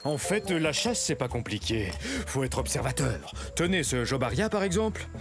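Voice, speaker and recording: An actor voicing a boastful but laid-back predatory dinosaur, chatting casually to viewers in an educational cartoon. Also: male voice, medium pitch, big pitch swings, moderate pace, music in the background. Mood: casual, instructive.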